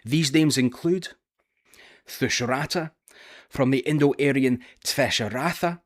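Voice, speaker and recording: A man's voice: medium pitch, measured pace. A man with a Scottish accent, presenting a scripted history video in a careful, explanatory way. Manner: careful, explanatory, with occasional filler "uh". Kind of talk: scripted educational narration.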